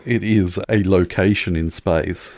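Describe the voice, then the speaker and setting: Man, gravelly fry, close dry sound, deadpan podcast banter. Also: deep voice, unhurried, dry recording. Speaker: podcast co-host. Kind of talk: casual chat.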